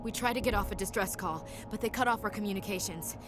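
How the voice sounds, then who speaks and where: A woman's voice: medium pitch, quick pace, music in the background, close to an everyday voice. A woman playing a tough, dutiful marine gunnery chief in a science fiction video game, plainspoken and military. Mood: shaken. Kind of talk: video game cutscene acting.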